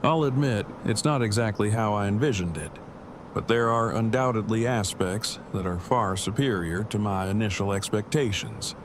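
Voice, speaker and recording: An actor playing Eugene, a verbose, bookish and affectionate apocalypse survivor, speaking formally and precisely in an audio drama. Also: male voice, lowish voice, moderate pace, close to an everyday voice. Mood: reflective. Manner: formal, wordy, precise diction. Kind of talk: fan audio drama acting. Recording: clearly noisy, with ambience behind.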